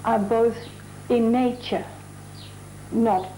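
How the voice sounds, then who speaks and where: A woman from the Netherlands, a mural artist, talking thoughtfully in a documentary interview and pausing to find her words. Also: fairly high pitch, steady tone, mellow, a little hiss or hum, low-quality recording. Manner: chatty.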